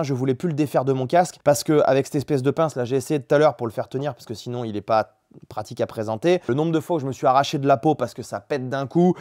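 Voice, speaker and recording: A man's voice: mid-range voice, dark tone. A man giving a casual, frank product review in colloquial, chatty language, like a vlogger reviewing motorcycle gear.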